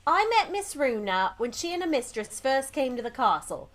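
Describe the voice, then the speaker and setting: A woman's voice: high-pitched, big pitch swings, moderate pace. A woman playing a timid, nervous young housemaid with colloquial servant speech in a radio drama.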